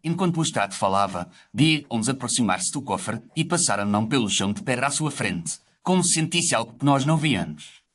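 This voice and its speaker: A man's voice: mid-range voice, very animated, a little fast. A man narrates a scripted audio story. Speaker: narrator telling the story as a doctor character.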